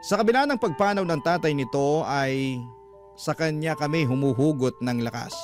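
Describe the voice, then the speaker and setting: Filipino male storyteller over guitar music. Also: mid-range voice. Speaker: radio drama narrator. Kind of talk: dramatic scripted first-person narration. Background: plucked guitar music.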